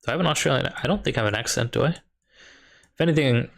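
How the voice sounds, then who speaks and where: A young man from the US, a high school math teacher, chatting casually while playing a strategy game and answering viewers' questions. He thinks aloud with loose fillers and a light Chicago lilt. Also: fast, vocal fry, a bit bright. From United States. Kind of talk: casual live-stream chat while gaming.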